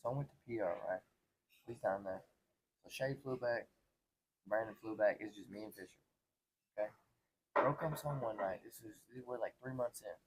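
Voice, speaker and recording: A man's voice: on the low side, moderate pace, pauses often, crowd noise, rough recording. A man in his twenties telling personal stories in casual, slangy talk, earnest and repeating phrases for emphasis. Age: 20s. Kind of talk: casual conversational storytelling.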